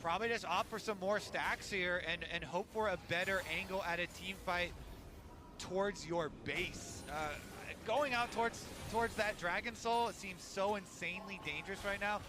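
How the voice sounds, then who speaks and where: A man's voice: high-pitched, normal speed, noisy background. A man giving analytical live esports commentary in a conversational way, as if thinking aloud.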